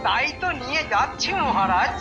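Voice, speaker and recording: A man's voice: very high voice, animated, moderate pace, highs cut off. A man voicing a sly, envious royal minister in a cartoon, with an oily, scheming way of talking. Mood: sly, conspiratorial. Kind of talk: cartoon voice acting. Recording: loud music behind the voice.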